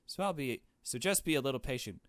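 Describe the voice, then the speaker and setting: A cocky young villainess played by a man from a visual novel. She taunts in a breezy, mocking way and stretches words out with big swings of pitch. The recording is dry. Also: lively intonation, fast, a bit bright, dry recording, lightly acted. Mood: breezy, smug.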